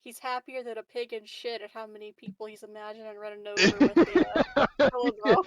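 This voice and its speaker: A woman with a somewhat high voice joins in a tabletop game. She speaks in loose, casual banter. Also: a little fast.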